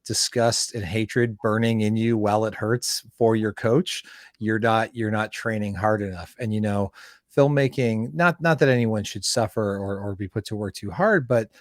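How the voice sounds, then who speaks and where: A man hosting a casual filmmaking interview, talking in a halting way and repeating words as he forms his thoughts. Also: lowish voice, dry recording, clean studio sound. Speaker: interview host and fellow filmmaker.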